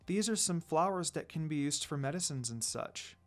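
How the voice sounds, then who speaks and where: A man voicing a kind young female wolf-demon princess in a gentle, soft manner for a story reading. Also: a little high, lively intonation, normal speed, faint music behind, close to an everyday voice.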